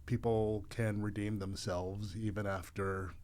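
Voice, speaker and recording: Thoughtful, low-pitched man in interview. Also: level tone, a little mellow, a little hiss or hum. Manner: halting, thoughtful, measured phrasing. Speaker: podcast guest, voice actor. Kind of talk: casual interview chat.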